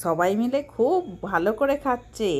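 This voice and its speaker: A woman's voice: a little high, animated, normal speed, darkish tone. A woman narrating and voicing a children's doll-play story in a simple, homely way. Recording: faint background noise.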